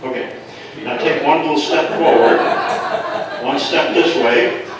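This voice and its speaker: A man's voice: a little high, measured pace. Guy doing live stage patter, super echoey, far from mic, some noise. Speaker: stage performer directing a volunteer.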